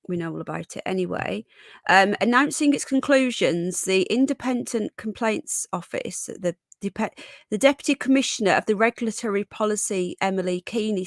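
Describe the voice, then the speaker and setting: A woman's voice: mid-range voice, no room sound, studio quality. Congested British woman hosting a live stream. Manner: chatty, reads chat comments aloud and greets viewers. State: congested and croaky from a cold. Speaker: live stream host reading news. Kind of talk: casual live stream commentary.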